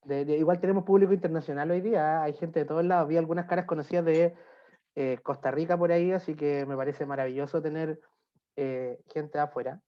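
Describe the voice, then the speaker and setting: A man with a Chilean accent giving an online talk on beer as a sommelier, relaxed and friendly with frequent hesitations. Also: on the high side, fast talker. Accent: Chilean Spanish. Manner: relaxed, friendly, lots of filler "eh". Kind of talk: online presentation, chatty lecture. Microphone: computer mic on a video call. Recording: dull lo-fi video call audio.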